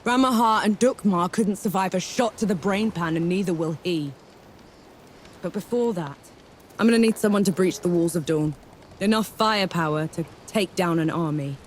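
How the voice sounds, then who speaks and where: A woman's voice: fairly low pitch, normal speed, a little hiss or hum, natural voice. An actress playing a determined woman soldier and god-killer in a game cutscene, resolute and commanding. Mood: rallying. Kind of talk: video game cutscene acting.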